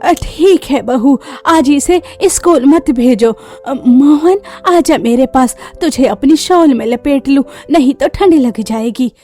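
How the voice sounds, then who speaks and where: A woman's voice: high-pitched, slow pace, few pauses, warm tone. A woman voicing a loving, worn-down grandmother from a poor village in a cartoon story. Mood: gentle. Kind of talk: Hindi cartoon story character voice.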